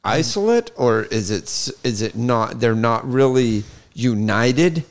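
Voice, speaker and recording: Man, mid pitch, co-host, rambling questions, casual chat. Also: lively intonation, a little slow. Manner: rambling questions with frequent laughs. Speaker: podcast co-host.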